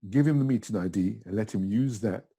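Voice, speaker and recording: A middle-aged man giving a casual step-by-step tutorial in a chatty, simple way, with a husky voice. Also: lowish voice, fairly quick, mellow. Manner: simple, chatty, talking himself through each step. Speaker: tutor in a tutorial video, also acting as the student.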